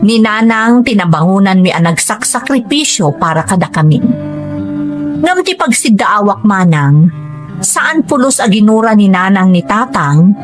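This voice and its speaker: A woman voicing a grown daughter who narrates her family's story in a measured, scripted audio-drama style. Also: medium pitch, lively intonation, close to an everyday voice. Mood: reflective. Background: background music.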